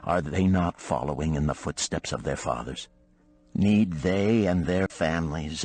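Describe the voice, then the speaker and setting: A man voicing a middle-aged, discontented chariot builder of ancient Babylon in a storybook, earnest and declamatory, with archaic phrasing. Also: sits low. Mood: troubled.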